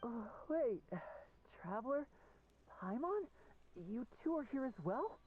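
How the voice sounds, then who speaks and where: A woman's voice: a little high, sing-song, slow pace. A woman voicing a weary young male stage magician, polite and theatrical, in a fan-dubbed game on tinny audio. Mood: surprised, agreeable. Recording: tinny phone-like audio, faint noise.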